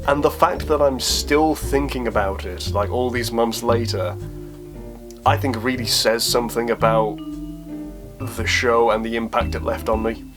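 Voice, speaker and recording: A man's voice: medium pitch, fairly even intonation, unhurried, music in the background. Casual man, wry and self-deprecating. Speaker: YouTube host answering viewer questions. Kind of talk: casual chat to camera, Q&A.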